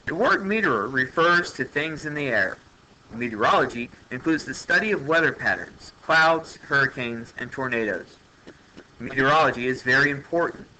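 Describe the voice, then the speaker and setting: Bright-voiced man reading a lesson aloud. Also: mid-range voice, expressive, normal speed, a little hiss or hum, poor audio. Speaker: teacher reading a textbook aloud. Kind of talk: educational read-aloud narration.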